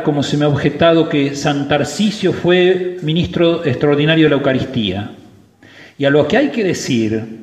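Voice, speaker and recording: A priest preaching a sermon at Mass, slow and emphatic, stressing key words. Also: male voice, fairly high pitch. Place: church. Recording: roomy church with long reverb.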